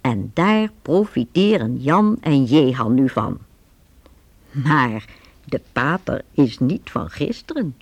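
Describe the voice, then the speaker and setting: Measured woman storyteller, lively low voice, dry recording. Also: breathy edge, dry recording. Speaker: narrator. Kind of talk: scripted narration for a youth radio play.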